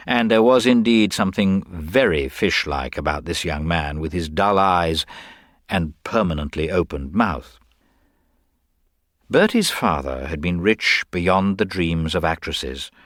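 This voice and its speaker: A man's voice: low-pitched, fairly bright tone, dry recording. A man giving a scripted story narration with dry wit, as the narrator of a tale.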